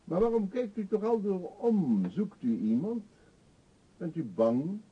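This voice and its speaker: An actor playing an eccentric, talkative older beggar in a radio drama, pushy and wry, confiding theatrically. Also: male voice, sits high, very animated, measured pace, breathy edge, exaggerated voice. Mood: chatty, insistent. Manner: chatty, insistent, theatrically confiding. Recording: dull, muffled old radio play recording.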